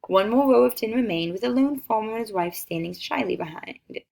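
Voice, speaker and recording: Animated woman reading a children's story. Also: a little high, fairly quick, gravelly. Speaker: read-aloud storyteller. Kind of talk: expressive children's picture book narration.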